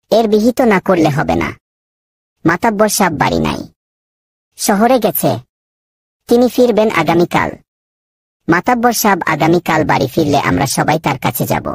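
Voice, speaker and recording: A woman's voice: on the low side, slightly rough, no echo, studio quality. A woman playing a worried villager in a comedy cartoon, speaking in an earnest, complaining way. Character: worried villager rallying neighbours against thieves. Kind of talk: cartoon voice acting.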